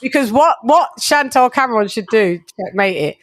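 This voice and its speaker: An excitable man hosting a casual live stream about boxing, calling out viewers by name. His voice sits high for a man and sounds dry and close. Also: moderate pace, no room sound. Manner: excitable, reads out super chats.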